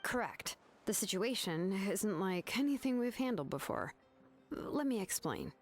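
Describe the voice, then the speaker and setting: A woman's voice: mid-range voice, big pitch swings, measured pace, soft background music. A woman playing an easygoing young craftswoman in a game, candid and teasing, with a laid-back, wry manner. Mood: matter-of-fact.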